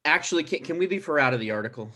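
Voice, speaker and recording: A man's voice: fairly high pitch, animated, very fast, pushed and bright. A man playing a hesitant, anxious thirty-five-year-old mayoral candidate with a mild manner in a comedy table read. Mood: anxious, sheepish.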